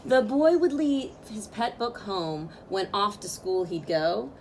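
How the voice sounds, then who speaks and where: A woman reading a picture book aloud as a storyteller, with expressive, drawn-out phrasing for young listeners. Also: medium pitch, slight background noise, low-quality recording. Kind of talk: expressive children's read-aloud narration.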